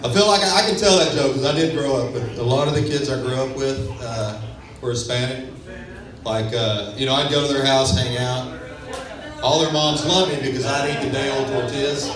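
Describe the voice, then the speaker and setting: A man's voice: medium pitch, moderate pace. A man from Texas with a West Texas accent, doing a self-deprecating, laid-back stand-up comedy set on a club stage. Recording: echoey club sound from far off with a crowd.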